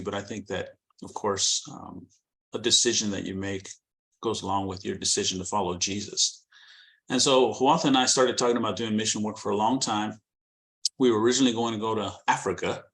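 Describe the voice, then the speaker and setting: Middle-aged man, Californian American accent, lowish voice, reflective and halting, missionary interview guest. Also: gravelly, fairly bright tone. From born in Guatemala, raised in California. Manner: reflective, hesitant, with many ums and restarts. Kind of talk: interview storytelling.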